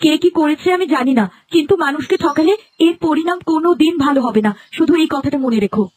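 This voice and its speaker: A woman's voice: high voice, animated, fast talker, darkish tone, slight background noise. A woman voicing a scolding village wife in a cartoon fable, reproachful and preachy. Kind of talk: Bengali cartoon fable voice acting.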